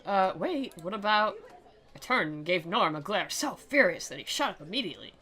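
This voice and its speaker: A woman's voice: medium pitch, sing-song, moderate pace, sharp tone, slightly rough. A woman giving sarcastic commentary while reading a visual novel aloud in a mock-dramatic way. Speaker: game commentator and reader.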